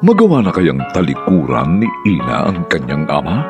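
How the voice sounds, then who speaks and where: A man's voice: extremely low pitch, huge pitch swings, normal speed. A man narrating and announcing for a radio drama in a theatrical, scripted style. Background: music.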